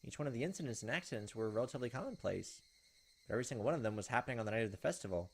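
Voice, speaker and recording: A man's voice: medium pitch, lively intonation, very fast. A man doing casual let's play commentary, moving between reading visual novel text aloud and giving his own reactions. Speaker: gaming video host.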